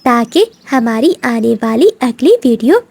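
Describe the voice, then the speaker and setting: Casting: female cartoon narrator, high mellow voice, unhurried storybook delivery. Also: animated, gravelly, husky.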